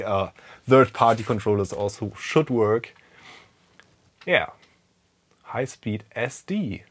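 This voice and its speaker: German man, first language German, lowish voice, casual tech vlog host, thinking aloud, lots of fillers. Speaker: retro computing video host. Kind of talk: casual hands-on tech walkthrough.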